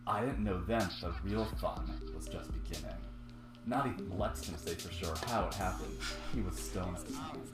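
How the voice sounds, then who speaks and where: A man's voice: low voice, normal speed, reverberant, music in the background. A man hosting a podcast, reading a comic's narration aloud and chatting casually about it. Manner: reads narration flatly, bursts into laughing comments. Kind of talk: casual table reading and chat.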